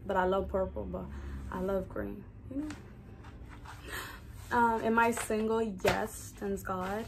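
A woman's voice: medium pitch, fairly even intonation, slow, creaky, background noise. A woman in her early twenties with a Dominican accent, a native Spanish speaker, chatting informally to camera as a vlogger. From Dominican Republic. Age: early 20s. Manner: chatty, informal, conversational asides. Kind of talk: casual get-to-know-me vlog talk to camera.

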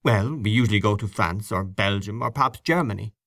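A man voicing an awkward, defensive gentleman giving clipped, reluctant answers. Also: on the low side, a little fast, dry recording, clean studio sound.

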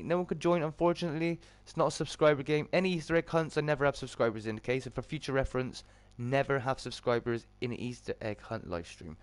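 Slightly croaky British man chatting on stream. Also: a little high, no echo. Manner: chummy greetings to viewers. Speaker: live streamer and host. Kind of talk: casual livestream chat with viewers.

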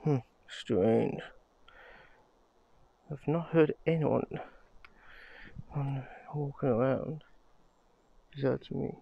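Man, thirties, unhurried drawl, gravelly and husky, muttering vlog to a phone camera in the woods. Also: medium pitch, dry recording, dull audio. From Scotland. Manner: slow, muttering, swears under his breath. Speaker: vlogger. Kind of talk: casual vlog monologue. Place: pine forest outdoors. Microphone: handheld phone camera.

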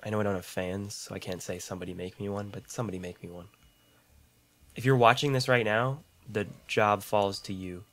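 Brief: young male gaming YouTuber, low deep voice, slightly rough throat, rambling self-deprecating chatter, condenser mic at home. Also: fairly even intonation, a little fast, fairly bright tone. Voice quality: deep voice, mouth clicks. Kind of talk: casual gameplay commentary. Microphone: large condenser studio mic.

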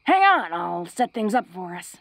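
A woman's voice: on the low side, very animated, moderate pace, gravelly, a little hiss or hum. A woman playing a tough, flirty, secretly awkward female orc in an intimate romantic audio roleplay, speaking in a hesitant, folksy, teasing way. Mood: tender, teasing.

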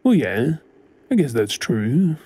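An Australian man playing a frantic, tender boyfriend fussing over his partner in an intimate audio role-play, stammering with emotion, his voice husky. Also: mid-range voice, big pitch swings, takes it slowly, dark tone, a little hiss or hum. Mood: shaken, loving.

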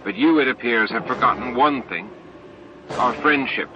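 A man's voice: medium pitch, moderate pace, noisy background, pitched above the performer's own voice, close to an everyday voice. A man voicing an earnest, idealistic insect-like alien leader in a dramatized audiobook, reasoning with strained patience. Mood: restrained anger. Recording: dull lo-fi audiobook tape with music.

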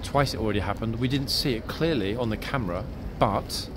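A man's voice: mid-range voice, a little fast. A man talking casually to viewers while walking and filming outdoors on his phone, wry and chatty. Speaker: vlogger filming on a city walk. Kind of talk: casual vlog commentary to viewers. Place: outdoors in a city square. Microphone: phone held while walking. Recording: loud outdoor street noise. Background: loud city ambience and traffic.

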